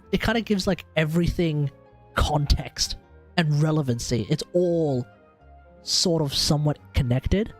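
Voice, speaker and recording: Animated man reacting on a game livestream. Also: on the high side. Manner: expressive, muttering reactions with frequent swearing. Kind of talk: casual live playthrough commentary.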